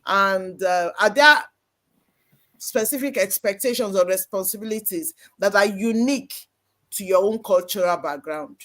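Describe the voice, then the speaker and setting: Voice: medium pitch, measured pace, pushed and bright. Chatty church live-stream host. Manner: conversational, explanatory, with frequent "you know". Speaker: host, moderator.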